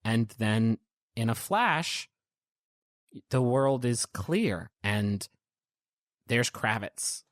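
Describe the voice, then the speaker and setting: A man narrating a tabletop game as game master, in gentle, descriptive storytelling. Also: mid-range voice, animated, extremely slow, pauses often, no echo, clean studio sound. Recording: prominent piano music underneath.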